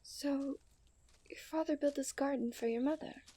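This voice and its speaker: Woman acting a mellow, genteel fairytale princess. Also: sits high, fairly even intonation, normal speed, natural voice. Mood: tender, soft. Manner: formal, genteel fairytale phrasing with breathy sighs. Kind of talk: scripted romantic ASMR roleplay.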